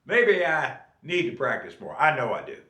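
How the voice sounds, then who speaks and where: A man's voice: fairly high pitch, wildly swooping pitch, normal speed, pushed and bright. Folksy fifties man, echoey room. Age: 50s. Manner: folksy, repeats "okay?" to the viewer. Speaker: drummer and channel host. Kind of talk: casual advice vlog.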